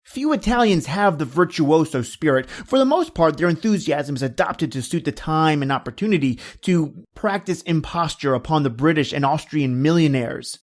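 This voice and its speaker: A man performs as a vengeful nobleman telling his tale in an old-time radio horror drama. His voice sits a little high for a man, and his delivery is deliberate, formal and theatrical, with cold cunning beneath the courtesy. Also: lively intonation, natural voice. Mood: brooding, resolute.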